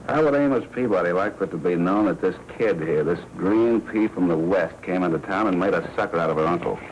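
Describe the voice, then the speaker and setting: An actor playing a sardonic, menacing American crime boss and jealous husband in a 1940s film noir. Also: male voice, low-pitched, lively intonation, fairly quick, dull audio. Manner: sardonic, needling, tough slangy talk. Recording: noisy old 1940s film soundtrack.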